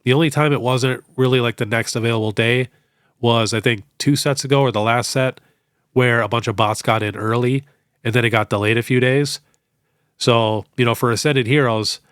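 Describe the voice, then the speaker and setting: A man's voice: mid-range voice, clean tone, no room sound. Man, young adult, stuffy head cold, casual host, chatty, dry close sound. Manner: relaxed, chatty, many fillers like "you know". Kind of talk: casual Q&A on card collecting.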